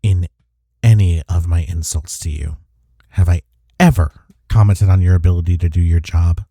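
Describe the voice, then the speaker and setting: A man acting in an intimate audio roleplay as a gruff but caring office rival, speaking in a husky, soft, reassuring way. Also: sits low, fairly even intonation, moderate pace, dry recording, studio quality. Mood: sincere, admiring.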